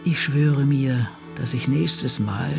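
An actress playing an ailing elderly American novelist in a radio drama, brooding and blunt, speaking in a reflective, deliberate way. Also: female voice, rumbling low, gravelly, darkish tone, faint music behind, full character voice. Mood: grave, defiant. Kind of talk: radio drama acting, intimate monologue.

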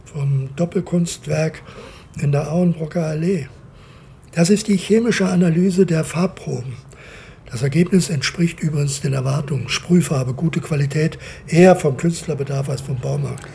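An actor playing a very heavy, pedantic, unhurried forensic technician in a naturalistic radio drama, with a precise, deadpan delivery. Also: male voice, fairly high pitch, gravelly, slight background noise.

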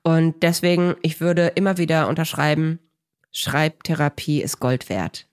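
A woman's voice: deep voice, normal speed. A woman from Germany's Ruhr area speaking as an interview guest, telling personal stories in an effusive, emphatic way. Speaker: podcast guest, author.

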